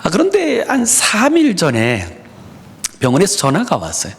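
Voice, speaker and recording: A middle-aged male pastor gives a Sunday sermon in his church. He speaks to the congregation in an earnest, personal way and often pauses on "uh". His pitch moves up and down a lot as he talks. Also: huge pitch swings, slightly rough. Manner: frequent "에" fillers, personal and earnest, addresses congregation. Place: church sanctuary.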